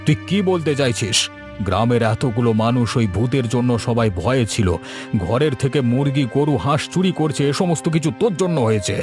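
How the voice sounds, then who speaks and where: A man's voice: medium pitch, animated, fairly quick, music in the background, lightly acted. A man voicing a stern village father who scolds in a cartoon drama. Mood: indignant.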